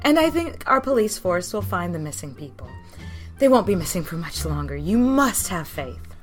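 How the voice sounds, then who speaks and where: A woman's voice: fairly low pitch, big pitch swings, normal speed, faint music behind. A woman playing a gracious, genteel mayor's wife giving a diplomatic interview in an audio drama. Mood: diplomatic, assured. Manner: poised, theatrical, genteel. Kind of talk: acted talk show interview in audio drama.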